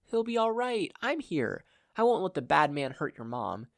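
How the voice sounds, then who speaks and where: A man's voice: fairly high pitch, sing-song, normal speed, vocal fry, no echo, pitched above the performer's own voice. A man voicing a confident, protective adult superheroine in a story reading. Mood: reassuring. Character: confident, protective heroine, reassuring yet combative adult woman.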